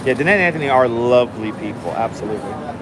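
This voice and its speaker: A man hosting a casual live stream, chatting calmly and enunciating clearly while he waits in line outdoors. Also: medium pitch, quick pace. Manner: calm, enunciated, chatty with viewers. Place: outdoors on a city street waiting in line. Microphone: phone held for a live stream. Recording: noisy outdoor phone stream. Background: city traffic and street noise.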